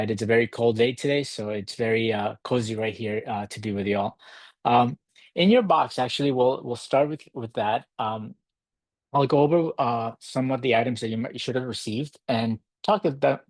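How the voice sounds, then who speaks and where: A man from Ecuador hosting a live virtual workshop, talking in a relaxed, halting way with many fillers. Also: medium pitch, dry recording. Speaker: workshop host. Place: home in a city. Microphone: computer mic on a video call.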